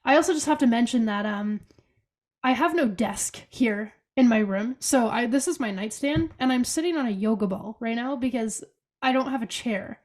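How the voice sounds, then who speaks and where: Young woman, congested with a cold, slightly high voice, chatty and upbeat, video call from a bedroom. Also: moderate pace, a little mellow. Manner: chatty, upbeat, many fillers like "like". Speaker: podcast co-host. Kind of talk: casual chat over video call. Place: bedroom at home.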